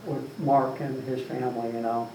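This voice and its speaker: Man, mellow voice, rambling, heard from a distance in a roomy hall. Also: medium pitch, flat delivery, moderate pace. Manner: rambling, filler-heavy, with frequent "you know". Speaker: author and guest speaker. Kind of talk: casual conversation at a meeting. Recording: distant mic in an echoey room with some noise.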